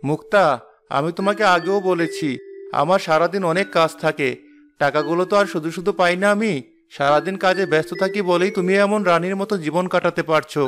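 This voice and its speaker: A man's voice: high voice, fairly quick, a bit bright. A man playing a busy, impatient rich husband in a cartoon fairy tale, lecturing and dismissive. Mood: weary, lecturing. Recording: music behind the voice.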